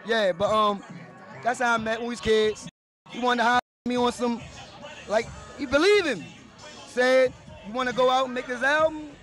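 A man with a raspy voice, interviewed at his own party in a dark club, talking in laid-back street slang. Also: very high voice, steady tone, normal speed, some chatter behind. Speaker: rapper being interviewed. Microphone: handheld mic held by interviewer. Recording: music playing behind.

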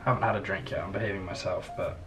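A man's voice: sits low, steady tone, racing. A young adult man chatting casually in a dry, understated way as he shows people around. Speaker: vlogger's companion giving a house tour. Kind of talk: casual chat. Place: indoors, kitchen and large high-ceilinged house. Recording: echoey room with music behind.

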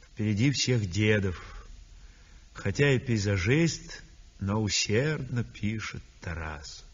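A serious young art student narrates in an old radio drama. His lowish voice is slow and measured. The recording is vintage and dull. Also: lively intonation, breathy edge, heavily acted. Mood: sober, reflective. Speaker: actor narrating in first person.

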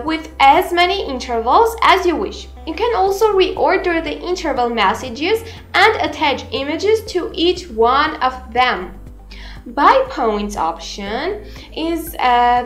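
A woman in her twenties giving a scripted, instructional software tutorial in a deliberate manner. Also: high voice. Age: 20s. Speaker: tutorial presenter. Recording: roomy echo, music underneath. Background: background music.

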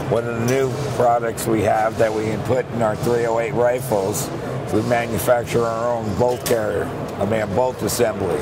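Middle-aged man, trade show booth, clipped matter-of-fact spec talk, loud background hall noise, close camera mic. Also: medium pitch. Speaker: company representative. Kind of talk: product demonstration.

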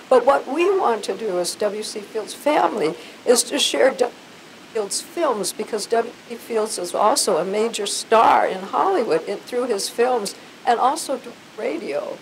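An older American woman giving an informal, effusive talk to an audience on a handheld microphone, emphatic and sometimes halting. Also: on the high side, lively intonation, vocal fry, slight background noise, poor audio. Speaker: event presenter. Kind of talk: informal live public talk. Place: hotel conference room before a seated audience.

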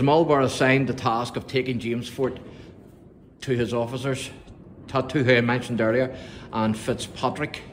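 A man's voice: mid-range voice, a little hiss or hum. A middle-aged man, filming a museum walkthrough on his phone and reading the display panels aloud with small stumbles and casual asides. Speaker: vlogger touring a museum. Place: indoor museum exhibit room.

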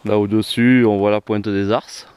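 A man's voice: lowish voice, little pitch movement, moderate pace, a little mellow, smooth, no room sound. A man filming his hike outdoors in a snowy mountain valley, commenting casually with dry humor. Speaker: hiker filming a walk.

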